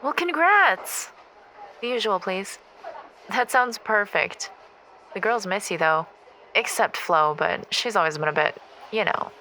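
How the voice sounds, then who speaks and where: Bright, blunt best friend, played by a woman. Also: medium pitch, lively intonation, unhurried, long pauses, slight background noise, low-quality recording. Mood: chatty. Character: blunt, cheeky, loyal young female best friend. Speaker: actor in audio drama. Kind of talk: naturalistic scripted audio drama.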